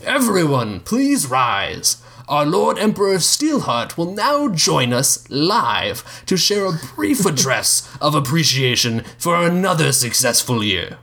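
A man's voice: a little high, big pitch swings, slow pace, few pauses, pushed and bright, voice pushed up, exaggerated voice. A man voicing a formal, reassuring male official addressing a banquet. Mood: ceremonial.

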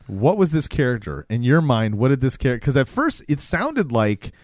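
A man with a medium-pitched voice chats casually as a podcast co-host, asking questions of the group. His voice is recorded dry, with little room sound. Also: sing-song, moderate pace, a little mellow, dry recording.

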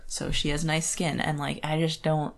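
Low-voiced woman chatting casually. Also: completely flat delivery, moderate pace. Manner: conversational, opinionated, frequent "like". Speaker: podcast co-host. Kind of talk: casual book discussion.

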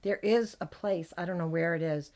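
A middle-aged American woman with a gravelly voice, chatting as a podcast host and telling animated stories. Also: lowish voice, normal speed. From United States. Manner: chatty, animated storyteller, laughs often. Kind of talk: casual chat.

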